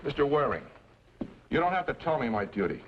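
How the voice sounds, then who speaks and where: An actor playing a weary, dutiful frontier marshal with a gruff, curt manner in an old-time radio western. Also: male voice, mid-range voice, sing-song, fairly quick, a little hiss or hum, lightly acted. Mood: troubled, resigned. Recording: muffled old radio recording with music.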